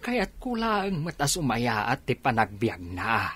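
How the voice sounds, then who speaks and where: A man's voice: mid-range voice, wildly swooping pitch, measured pace, fairly bright tone, breathy edge, heavily acted. A man playing an emotional, devout elderly father in a Philippine radio drama. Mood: worried.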